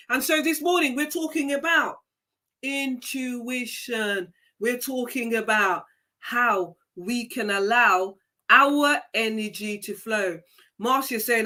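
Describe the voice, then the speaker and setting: Bright, emphatic woman host. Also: sits high. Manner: emphatic and preachy, repeats key phrases. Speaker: live show host. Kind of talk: live-stream motivational talk, reading viewer comments.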